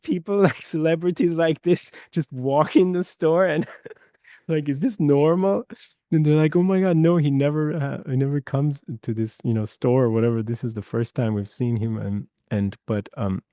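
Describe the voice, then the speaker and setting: Interview guest, forties, from Iran; dark, relaxed voice, halting delivery with frequent fillers. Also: male voice, fairly high pitch, no echo, studio quality. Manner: hesitant, many fillers and restarts, warm laughs. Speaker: guest, Foley mixer. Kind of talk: casual interview conversation.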